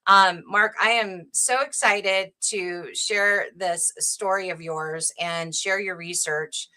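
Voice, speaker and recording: A woman's voice: fairly low pitch, steady tone, takes it slowly, bright, forceful tone, low-quality recording. A middle-aged woman hosting a live interview show, eager but hesitant, with many fillers. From United States. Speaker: host.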